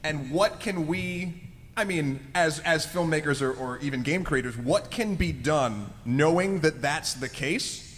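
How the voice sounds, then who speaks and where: A man's voice: fairly high pitch, unhurried, fairly bright tone. A man hosting a live panel discussion, talking informally and addressing the audience. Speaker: podcast host. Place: large convention room with live audience. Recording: echoey hall, clearly noisy.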